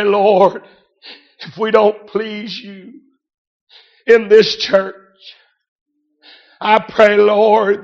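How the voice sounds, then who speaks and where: A man preaches a fervent revival sermon, raspy and worn hoarse from a week at the pulpit. He scatters amen and hallelujah through every phrase and breaks into shouts. The recording is dull and muffled. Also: high-pitched.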